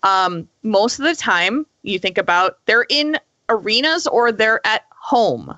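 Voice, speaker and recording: A woman's voice: mid-range voice, expressive, a little slow, bright, forceful tone, dry recording, muffled recording. A woman on a podcast panel giving her opinions in an emphatic, conversational way. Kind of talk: casual opinionated discussion.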